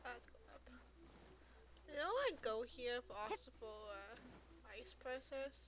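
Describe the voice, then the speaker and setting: A woman's voice: fairly high pitch, expressive, measured pace, slight background noise. A woman game streamer chatting casually and reacting aloud during a live stream. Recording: game music behind the voice. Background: video game music.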